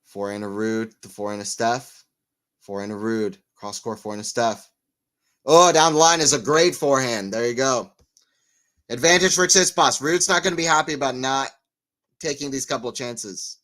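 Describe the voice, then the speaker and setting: Male watchalong commentator, mid-range voice, relaxed chat between points that jumps into excited calls. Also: mid-range voice, moderate pace. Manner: excitable on rallies, rambling and self-mocking between points. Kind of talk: live play-by-play and casual chat.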